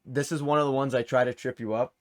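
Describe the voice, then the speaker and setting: A man's voice: mid-range voice, fairly even intonation, quick pace. A man with a mid-range voice acts as quiz host. He talks informally and casually, reading out questions and multiple-choice options as he goes. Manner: informal, reads questions and answer options aloud. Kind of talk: hosting a game trivia quiz in casual chat.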